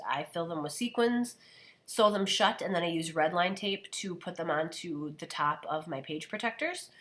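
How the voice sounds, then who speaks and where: A woman who is losing her voice to a cold, chatting casually as she narrates her scrapbooking steps. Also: on the low side. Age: adult, parent of a daughter. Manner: chatty, relaxed, describing steps as she goes. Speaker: scrapbooking video host. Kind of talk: casual craft voice-over narration.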